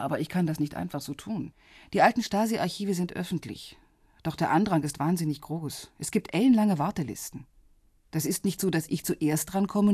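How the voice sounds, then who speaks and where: An actress playing a composed, businesslike intelligence officer in a radio drama, speaking in a measured, matter-of-fact, discreet way with a husky voice. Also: female voice, fairly low pitch, warm tone, dry recording, clean studio sound. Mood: hesitant, helpful.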